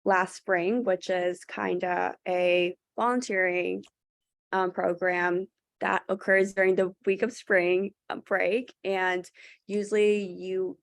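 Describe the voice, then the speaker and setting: A woman's voice: on the low side, level tone, slow pace, gravelly, no room sound. Earnest young woman on a dry video call. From Thailand. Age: young adult. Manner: earnest, with frequent fillers and restarts. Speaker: student panelist. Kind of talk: webinar panel talk.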